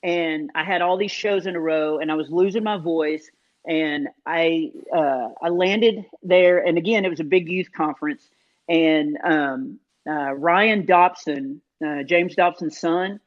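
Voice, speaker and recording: A man's voice: fairly high pitch, a little slow. Man telling funny stories, lo-fi. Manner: animated, self-deprecating, many fillers. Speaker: comedian guest. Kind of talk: casual storytelling in an interview. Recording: dull lo-fi sound.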